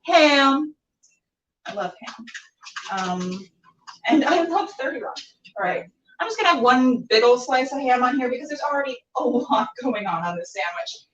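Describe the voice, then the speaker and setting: A woman's voice: sits high, expressive, normal speed, fairly bright tone. A woman chatting casually and playfully to camera as a cooking show host, rambling and talking to herself as she goes. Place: indoors while cooking, live stream. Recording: roomy, distant, dull camera sound.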